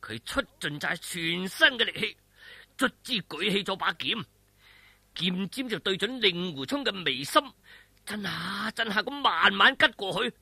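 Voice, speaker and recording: A man with a Cantonese accent telling a martial arts story in a dramatic, animated, colloquial and suspenseful way. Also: high voice, a little slow, vocal fry, slightly rough, no echo.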